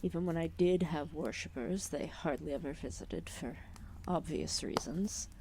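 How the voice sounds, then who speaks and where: A woman voicing an ancient, lethargic serpent goddess, protective and calmly menacing, in a formal, soothing, intimate ASMR roleplay with whispered phrases. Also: sits low, normal speed, noisy background. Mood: reflective, admiring. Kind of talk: ASMR roleplay, intimate soft-spoken acting.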